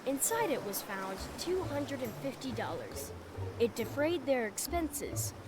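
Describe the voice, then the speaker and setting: A woman reading the narration of a story game in a measured storytelling way. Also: high voice, big pitch swings, a crowd in the background. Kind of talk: scripted narration. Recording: game audio with music under it.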